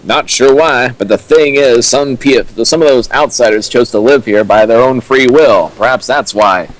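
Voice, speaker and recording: A man reading the lines of a calm, reassuring male fanfic character in a plain voice. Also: medium pitch, normal speed, close to an everyday voice, low-quality recording. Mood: explaining.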